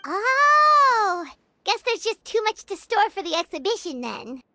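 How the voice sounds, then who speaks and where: A tiny, chirpy fairy sidekick from a video game. The voice is squeaky, childlike and extremely high, swinging eagerly up and down with curiosity, over light background music. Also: female voice, sing-song, brisk, no echo, heavily acted. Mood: curious, amazed.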